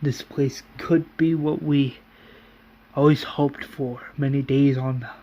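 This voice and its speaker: A man voicing a grieving young mother in a zombie apocalypse town, halting and imploring as he repeats himself. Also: mid-range voice, fairly even intonation, mellow, dull audio. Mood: pleading, tearful.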